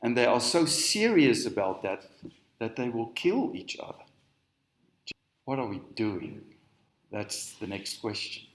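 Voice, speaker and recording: A man's voice: mid-range voice, big pitch swings, echoey room. Earnest Afrikaans-speaking man preaching. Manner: earnest, slow and emphatic. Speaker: spiritual teacher. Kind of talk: sermon. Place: on stage in a hall.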